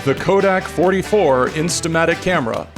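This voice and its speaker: A man playing an eager sponsor's pitchman, reading an old-time radio commercial over guitar music. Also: moderate pace, crowd noise, close to an everyday voice. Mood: upbeat.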